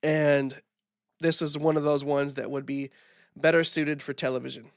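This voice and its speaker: A man hosts his own podcast alone, rambling through his opinions with fillers and restarts. His voice sits a little high and is hoarse from a recent illness. The recording is close and dry, with no room sound. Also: flat delivery, normal speed, no room sound. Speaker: podcast host. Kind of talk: solo wrestling opinion podcast.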